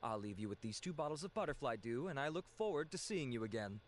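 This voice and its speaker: A man's voice: medium pitch, animated, fast, close to an everyday voice. A man voicing a courteous, earnest cosmetics researcher in an English video game dub, speaking politely and formally.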